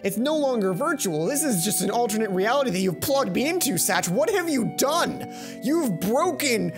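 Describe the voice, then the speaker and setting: A young adult man reading a visual novel aloud as a let's player, breaking into dramatic, expressive commentary on a headset mic. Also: very high voice, normal speed. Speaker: let's play host. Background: game music.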